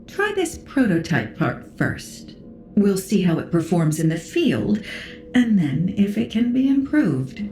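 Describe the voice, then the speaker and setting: An actress playing a wry, self-assured engineer in a video game, speaking in a teasing, nonchalant way. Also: female voice, mid-range voice, sing-song, measured pace, a little mellow. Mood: wry, playful. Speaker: game character. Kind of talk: scripted video game dialogue. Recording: roomy echo, faint music.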